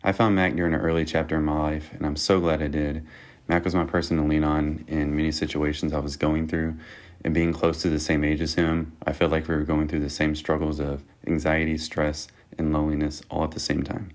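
Very deep, flat-voiced man. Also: brisk. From Texas. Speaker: podcast listener sending a voice message. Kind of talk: reading a prepared listener message.

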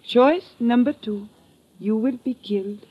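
An actress playing an elegant, mysterious madame in a mid-century radio drama, with formal, precise, commanding speech. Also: female voice, fairly high pitch, measured pace, slightly rough. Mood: cold menace. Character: imperious, elegant mysterious dragon-lady madame. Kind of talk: 1940s-50s radio drama acting. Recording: dull, muffled old radio recording.

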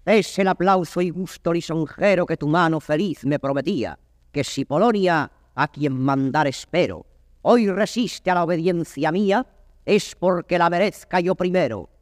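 A man's voice: on the high side, moderate pace, clean studio sound, natural voice. An actor playing an arrogant young nobleman eager for battle, declaiming classical Spanish verse haughtily, with a reverberant tail on the voice. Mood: haughty, defiant.

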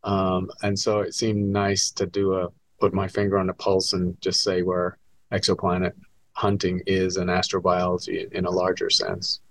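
Older man in his sixties, low voice, dry close sound, fluent explanatory interview talk, professor. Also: monotone, normal speed, gravelly, no room sound. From born in Scotland, raised in England and the US. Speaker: astronomy professor, author, podcast guest.

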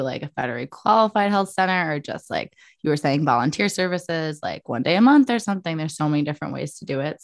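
A woman's voice: deep voice, no echo, studio quality. Upbeat young woman podcast host. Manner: upbeat, friendly questions with fillers like "kind of". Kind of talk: casual interview chat.

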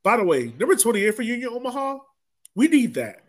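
A man's voice: very high voice, big pitch swings, fairly quick. A man hosting a casual sports recap podcast in a conversational way, drawing others into the discussion. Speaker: podcast host.

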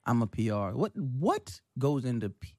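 A man co-hosts a casual talk show, jumping in with quick jokes and teasing asides. His pitch swings widely as he talks, recorded dry and close. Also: dry recording. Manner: joking, animated interjections. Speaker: co-host.

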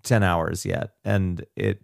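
A man's voice: low voice, steady tone, slow pace, gravelly, no room sound, clean studio sound. A man co-hosting a podcast in casual, sweary banter, slightly tipsy with faintly slurred speech. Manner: profane. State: tipsy on whiskey. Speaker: podcast co-host.

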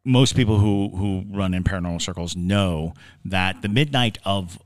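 Very deep-voiced man, explaining conversationally. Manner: halting, explanatory, repeats words while forming thoughts. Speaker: podcast co-host.

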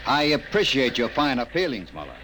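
A man's voice: mid-range voice, big pitch swings, a little fast, a bit bright, a little hiss or hum, poor audio. An actor playing an impatient, domineering middle-aged general in a classic radio drama, brusque and sarcastic as he barks orders. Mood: curt, scornful. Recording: old radio recording, music behind.

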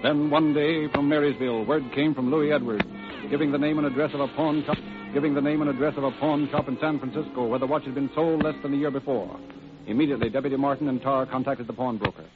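A man's voice: mid-range voice, fairly even intonation, fast talker. A man narrating as the announcer of a 1930s radio drama, in a scripted style. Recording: tinny old radio, music behind. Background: music.

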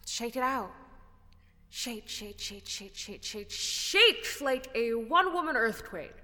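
Woman acting a playful, slow-talking theatrical ghost actress. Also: fairly high pitch, expressive, pushed and bright, in a large hall, full character voice. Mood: encouraging, coaxing. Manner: theatrical, airy, coaching. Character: playful, encouraging ghost of a drama-school actress.

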